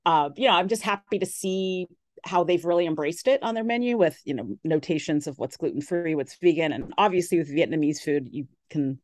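A woman talking on a radio show in a fairly low, dry voice. She chats loosely with plenty of "like" and "you know," and her enthusiasm sends her pitch swinging up and down. The talk sounds like an easy conversation between friends. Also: fairly quick, darkish tone, dry recording. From based in San Francisco area. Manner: chatty, lots of "like" and "you know" fillers. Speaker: restaurant columnist guest. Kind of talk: casual radio interview chat. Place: radio studio.

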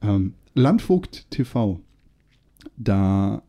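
Dark-voiced young man hosting a podcast. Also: lowish voice, extremely slow. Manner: relaxed, agreeable, lots of filler. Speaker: podcast host. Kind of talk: casual chat.

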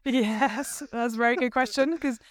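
A woman from the UK speaks with a British accent in an easygoing, rambling way, full of fillers and soft hedges. Her voice is smooth and clear. It is recorded dry and close. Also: fairly high pitch, fairly even intonation, normal speed, dry recording. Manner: chatty, many fillers and hedges. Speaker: guest, company co-founder. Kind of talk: casual interview talk.

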